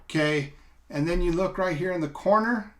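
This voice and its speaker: A middle-aged man giving a casual, unscripted product demo, explaining step by step in an informal, halting way. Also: high-pitched, a bit bright. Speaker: product reviewer. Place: small indoor room, seated at a table.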